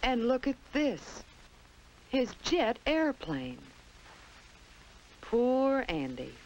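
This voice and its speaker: A woman's voice: on the high side, big pitch swings, extremely slow, darkish tone, lo-fi sound. An American woman narrating a 1950s children's instructional film in a simple storytelling manner, on an old film soundtrack. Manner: simple, storytelling delivery addressed to young children.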